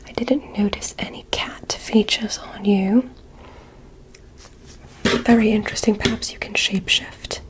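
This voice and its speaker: A woman playing a curious, clinical alien examiner in a soothing, methodical ASMR roleplay, whispering softly and deliberately. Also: medium pitch, fairly even intonation, natural voice, rough recording. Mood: calm, curious. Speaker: ASMR performer.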